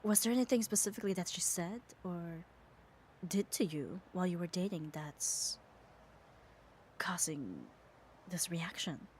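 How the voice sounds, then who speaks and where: A woman's voice: on the low side, expressive, measured pace, pauses often, a little mellow. Woman acting firm, caring muscular yandere, faint noise. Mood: tender, apologetic. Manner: coaxing, firm, tender reassurance. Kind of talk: ASMR roleplay audio drama.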